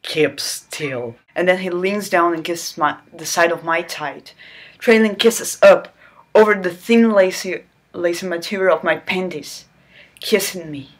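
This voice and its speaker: A woman reads aloud in a slow, lowish voice, hamming up every line for laughs. She keeps stopping to toss in sarcastic jokes and squealing asides. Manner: theatrical, breaks off to joke and squeal. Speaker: channel host reading aloud. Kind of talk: mock-dramatic reading with sarcastic asides.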